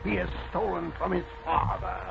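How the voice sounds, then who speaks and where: A man's voice: high-pitched, big pitch swings, normal speed, gravelly, music in the background, full character voice. An actor playing a sinister, coldly commanding voodoo miracle man with solemn, portentous delivery in an old radio drama. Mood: coldly menacing. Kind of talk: 1940s radio acting. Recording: noisy muffled old radio recording.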